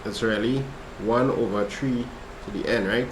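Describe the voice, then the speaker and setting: A man's voice: medium pitch, normal speed, reverberant, slight background noise, low-quality recording. A man with a Trinidadian accent who tutors maths over a casual livestream and chats with viewers between steps. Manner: relaxed, chatty, reads chat aloud while working problems. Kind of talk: casual livestream study session.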